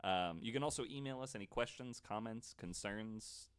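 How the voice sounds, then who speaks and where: A man hosting a podcast in casual banter, wry and joking, with a halting delivery. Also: lowish voice, clear, resonant tone. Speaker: podcast host.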